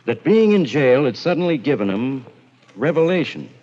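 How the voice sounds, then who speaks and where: Wisecracking police inspector, incredulous and animated, dull old-time radio sound. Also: male voice, medium pitch, normal speed. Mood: wry, uneasy. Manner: wry, slangy, incredulous. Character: wisecracking, skeptical, hard-boiled police inspector. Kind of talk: 1940s radio drama acting. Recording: muffled old radio recording.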